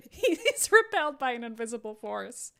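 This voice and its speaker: A woman's voice: fairly high pitch, big pitch swings, normal speed, gravelly, bright, forceful tone. A woman hosting a live table read, narrating stage directions dramatically and dropping in wry, playful asides. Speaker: podcast host reading stage directions.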